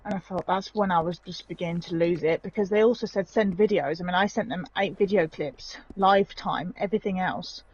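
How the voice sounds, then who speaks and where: A woman's voice: lowish voice, a little fast, few pauses, a little mellow, lo-fi sound, slight background noise. A woman joining a stream from off the main mic, talking in an aggrieved way and going over every detail of her complaint. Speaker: stream guest. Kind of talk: casual conversation.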